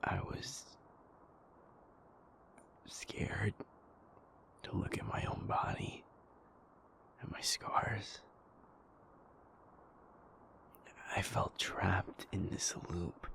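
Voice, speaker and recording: A man playing a tender, protective werewolf partner in an intimate ASMR roleplay, speaking gently and haltingly in a breathy, gravelly near-whisper. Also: deep voice, exaggerated voice. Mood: tender, vulnerable. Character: tender, protective male werewolf partner comforting a sleepless lover.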